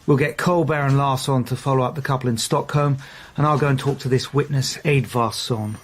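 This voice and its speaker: A senior male detective played by an actor in a radio drama. His voice sits at a middling pitch, and he questions drily and briskly, always probing for more. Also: steady tone, lightly acted. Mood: dry, probing. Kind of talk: naturalistic radio drama acting.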